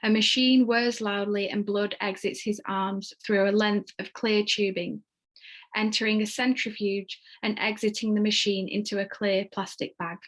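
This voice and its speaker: A woman's voice: medium pitch, flat delivery, moderate pace, rough recording. A woman reading stage directions as the narrator of a screenplay, in scripted narration.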